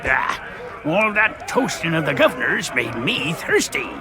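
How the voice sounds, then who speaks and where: A man's voice: on the high side, sing-song, measured pace. A man playing a grandiose, washed-up pirate skull in a video game, declaiming theatrically. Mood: reverent. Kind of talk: video game character acting.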